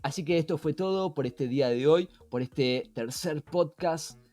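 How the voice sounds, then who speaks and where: A man's voice: a little high, unhurried, warm tone. A man with an Argentine accent hosting a podcast in a conversational style, engaging the listener with rhetorical questions. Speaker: podcast host. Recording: music behind the voice.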